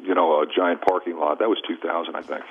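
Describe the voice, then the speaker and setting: Low-voiced man on thin talk radio. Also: flat delivery, fast, breathy edge, phone-quality sound. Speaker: radio talk show host. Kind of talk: conversational sports talk on radio. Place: radio studio. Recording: thin, band-limited radio broadcast.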